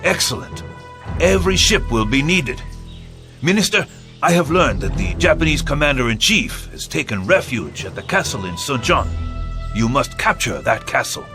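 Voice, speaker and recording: A man's voice: medium pitch, very animated, moderate pace, music in the background. A man playing a noble, commanding admiral in a video game cutscene, speaking in a formal, declamatory, solemn manner.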